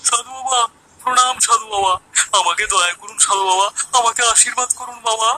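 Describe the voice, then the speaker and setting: A man playing an eager, devout young villager in a cartoon story, earnest and excitable. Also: high voice, a little fast, a little hiss or hum, low-quality recording. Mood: pleading, reverent. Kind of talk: Bengali animated moral story acting.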